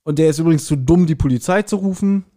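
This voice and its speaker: A man with a somewhat high voice talks as a podcast co-host. He retells a story in casual, conversational German and slips into acted-out quotes along the way. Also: fast, dark tone. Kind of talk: casual podcast chat recapping an audio drama.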